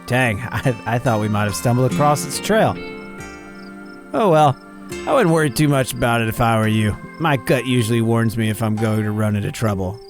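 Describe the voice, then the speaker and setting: A man voicing a breezy, excitable woman who hunts cryptids, reading game dialogue in character. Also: mid-range voice, normal speed, close to an everyday voice. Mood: reassuring. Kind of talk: reading visual novel dialogue aloud in character. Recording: music and chirping night insects behind.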